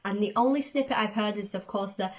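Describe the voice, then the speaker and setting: A woman chatting casually as the host of a reaction video, excitable, her voice going a little from a cold. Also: mid-range voice, a little fast. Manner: excitable, self-described overdramatic. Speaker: host of a music reaction channel.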